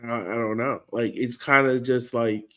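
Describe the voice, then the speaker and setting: A man's voice: mid-range voice, steady tone, moderate pace, a bit bright. Casual American man talking soccer. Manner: informal, slangy. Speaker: soccer podcast co-host. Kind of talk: casual sports chat, match breakdown.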